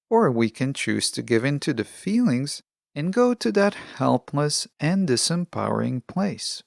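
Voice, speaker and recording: A podcast host delivering a scripted self-help monologue in a measured, instructional way with deliberate phrasing. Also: medium pitch, vocal fry, no room sound, studio quality.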